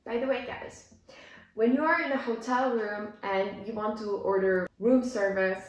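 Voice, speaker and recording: A young woman teaching English, reading a simplified story and explaining it with deliberate, demonstrative enunciation for learners. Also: medium pitch. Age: young adult. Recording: echoey room, music behind.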